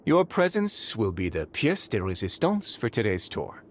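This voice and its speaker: Brief: smooth sardonic warden, low voice with lively lilt, faint music. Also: male voice, moderate pace, a little hiss or hum. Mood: wry. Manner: smooth, courteous, wryly sarcastic. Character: suave, dry-witted male prison warden. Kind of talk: game voice acting.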